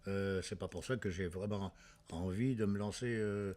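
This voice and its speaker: A man from France talks in a slightly low voice in a casual interview about his acting. He halts often, filling the gaps with drawn-out fillers and starting his sentences again. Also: fairly even intonation, a little mellow. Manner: halting, many fillers and restarts. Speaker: actor.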